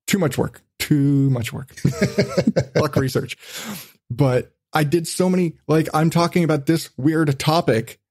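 A man's voice: fairly high pitch, slow pace, a little mellow, no room sound, studio quality. A man hosting a podcast in casual chat, blunt, self-deprecating and swearing freely. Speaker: podcast host.

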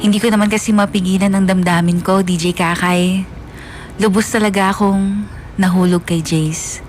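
A woman's voice: medium pitch, fairly even intonation, normal speed, a little mellow, close to an everyday voice. A woman playing a lovestruck narrator who recounts her school crush earnestly and wistfully, as a radio drama confession. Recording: music under the voice.

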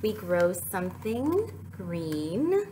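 A woman's voice: on the low side, very slow, a little hiss or hum. A young woman hosting a children's story time, reading and chatting to young children in a sing-song, questioning way. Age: young adult. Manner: animated, sing-song, prompting listeners with questions.

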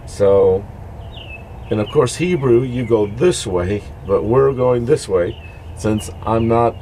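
Middle-aged man, informal devotional vlogger, unhurried and halting, mid-pitched voice, faint background noise. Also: expressive, mellow. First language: English. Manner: halting, explanatory. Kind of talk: informal devotional talk to camera. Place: dark greenhouse. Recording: noisy amateur recording with background ambience.

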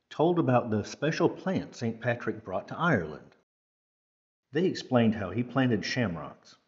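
A man reading a children's picture book aloud with measured, careful phrasing. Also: fairly low pitch, darkish tone, lo-fi sound. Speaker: storytime reader.

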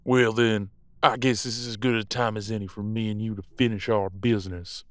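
Man acting a low, surly werewolf captor. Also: level tone, fairly quick, a bit bright, dry recording, natural voice. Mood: cold, scornful. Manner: gruff, profane, contemptuous. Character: surly, vengeful, stubborn male werewolf captor. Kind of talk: audio roleplay acting.

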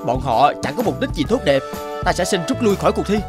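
A young scholar husband in a children's cartoon. He is devoted, earnest and fretful, with lively intonation. Music plays under his voice. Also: high voice, fairly quick. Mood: worried.

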